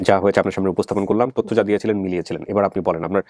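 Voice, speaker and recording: A man's voice: low voice, fairly even intonation, very fast, darkish tone, no room sound. A man with a Bangladeshi accent speaking as a show host, earnestly explaining things to a guest and mixing in English words. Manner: mixes English words into speech. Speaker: host of a family reunion show.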